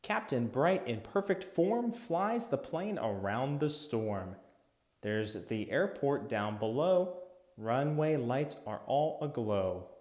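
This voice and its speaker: Male storybook reader, rhythmic children's narration, very lively intonation, unhurried pace. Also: medium pitch. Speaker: children's book read-aloud narrator.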